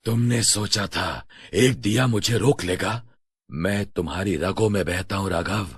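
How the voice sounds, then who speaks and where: A man as a low, husky possessing demon. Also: measured pace, no echo, studio quality, full character voice. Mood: menacing taunt. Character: sinister hungry skeleton spirit possessing a young man.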